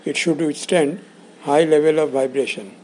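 A man giving a scripted instructional narration in a measured, explanatory way, in short phrases. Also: on the high side, steady tone, darkish tone. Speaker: instructor presenting components.

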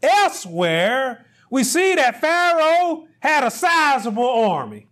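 A middle-aged male pastor preaching a sermon, speaking deliberately and rising to loud, emphatic peaks. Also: very high-pitched, very animated.

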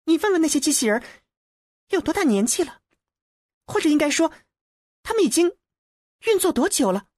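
A woman's voice: high-pitched, big pitch swings, fairly quick, long pauses, a little mellow, dry recording, clean studio sound. An actress playing a poised, haughty yet affectionate young woman in an audiobook. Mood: inquiring. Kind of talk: acted audiobook dialogue.

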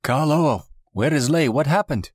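Man as earnest island chief, low voice. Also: animated, moderate pace, no echo, clean studio sound. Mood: alarmed.

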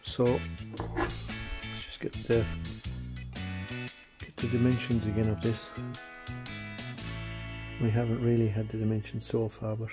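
A man's voice: lowish voice, a little slow, pauses often, music in the background. A man doing casual how-to narration in his garage workshop, thinking aloud as he works and calling viewers guys. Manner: relaxed, thinking aloud while working, addresses viewers as guys. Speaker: restoration vlogger.

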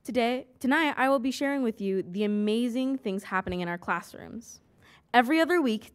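Young female student, mid-pitched voice, careful read-aloud, desk mic in a big meeting hall. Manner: reads aloud from a script, even and careful. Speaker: student council president. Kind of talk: reading a school report at a board meeting. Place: large meeting hall with rows of seats.

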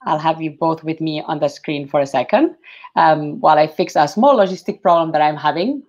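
A woman's voice: sits low, brisk. Chatty woman host on dull call audio. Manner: chatty, excitable, a little flustered. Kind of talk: live video interview. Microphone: headset.

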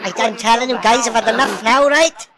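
A man's voice: extremely high pitch, fairly quick, crowd noise. A Welsh man in online game voice chat, scolding other players in an indignant, sweary rant. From Wales. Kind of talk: comedic in-game trolling rant.

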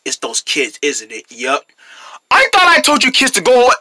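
A woman voicing a grumpy man neighbor in a homemade kids' story, speaking in a lowered, gruff voice. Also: wildly swooping pitch, normal speed, pushed and bright, dry recording, heavily acted.

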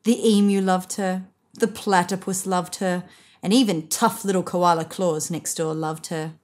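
A woman in her thirties reading a picture book aloud to children in an expressive, measured way. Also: fairly low pitch. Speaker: storybook reader. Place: indoors, seated on a sofa.

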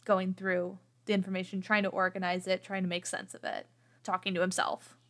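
A woman playing a tabletop game, improvising her character's actions as she thinks aloud in a halting way. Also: fairly low pitch, completely flat delivery, fairly bright tone.

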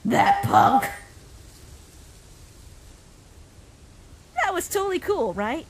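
Woman voicing a cocky, animated young punk. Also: very high-pitched, moderate pace. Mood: excited, taunting. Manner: cocky, taunting, sarcastic. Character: brash, mocking, foul-mouthed young punk adventurer. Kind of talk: animated comic dub acting. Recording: music behind the voice.

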